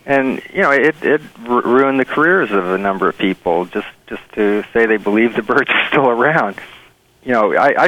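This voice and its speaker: A man being interviewed as a bird researcher, telling stories in an earnest, enthusiastic, halting conversational way. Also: on the low side, a bit bright, rough recording.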